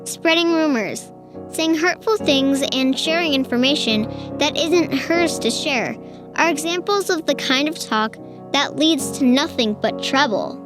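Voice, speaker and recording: A woman voicing a calm, caring female school counselor in a storybook, measured and reassuring. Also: high voice, over music. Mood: explaining.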